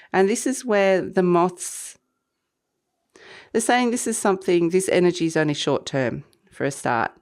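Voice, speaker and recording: Slightly croaky woman giving a tarot reading. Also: on the low side, a little mellow. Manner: meandering, reflective, intuitive musing. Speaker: tarot reader. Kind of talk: weekly tarot reading to camera.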